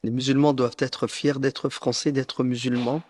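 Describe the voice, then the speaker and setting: A man in his late fifties from France, gives a prepared personal statement in a solemn, formal, deliberate way. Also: mid-range voice, flat delivery, a little mellow. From northern France. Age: late 50s. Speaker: preacher making a personal statement.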